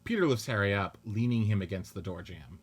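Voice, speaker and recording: A man narrating stage directions in a measured, matter-of-fact way during a radio play script reading. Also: lowish voice, expressive, breathy edge.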